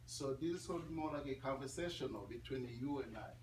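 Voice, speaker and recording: A man's voice: mid-range voice, brisk. A man from Congo with a Congolese accent, speaking English as a learned language, gives an informal, conversational talk to students and repeats phrases for emphasis. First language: not English. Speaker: guest speaker. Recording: roomy, distant mic, clear background noise.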